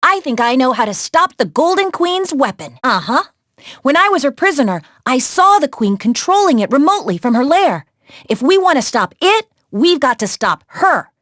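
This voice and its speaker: A woman playing a spirited, capable young adventurer who guides missions in an animated video game, with a peppy, expressive, conversational delivery. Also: sits high, normal speed, dry recording, studio quality. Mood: urgent, determined.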